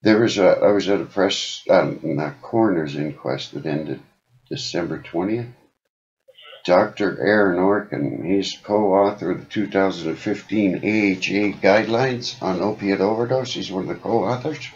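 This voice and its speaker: A very low-voiced man speaks as a caller pressing his concerns in an informal way. He is insistent and rambles, on a muffled, lo-fi phone recording. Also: moderate pace. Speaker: caller making a complaint. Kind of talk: phone call, informal advocacy talk. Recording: dull lo-fi phone call recording.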